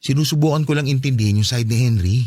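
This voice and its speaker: A man playing a gentle, forgiving, insecure boyfriend in an audio love-story drama, soft-spoken and placating, with a Filipino accent. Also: mid-range voice, fast talker, no room sound, studio quality, natural voice.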